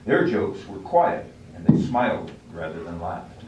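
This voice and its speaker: A man's voice: low voice, big pitch swings, unhurried, a little mellow, highs cut off. Echoey male storyteller, a man narrating onstage. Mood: measured. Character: measured storyteller narrator of a village tale. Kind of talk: scripted narration in readers' theater. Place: library room during a staged reading. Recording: echoey room, distant mic, faint noise.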